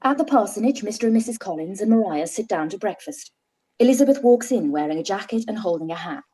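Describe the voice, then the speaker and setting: A woman narrating stage directions in a live-read radio play, in a plain, even delivery. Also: medium pitch, fast, breathy edge.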